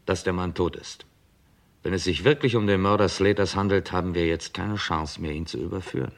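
A sceptical London chief inspector. The voice is low and gravelly, the delivery deliberate. It has the style of a 1960s radio crime drama. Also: male voice.

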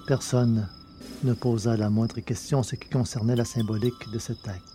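A man reading a novel aloud in measured, deliberate narration. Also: mid-range voice, level tone, noisy background. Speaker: audiobook reader. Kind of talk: scripted narration of a science-fiction novel.

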